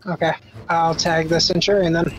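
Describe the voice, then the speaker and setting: A man's voice: fairly high pitch, level tone, normal speed, a little hiss or hum. A man talking casually as a player in a tabletop game. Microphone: online group video call.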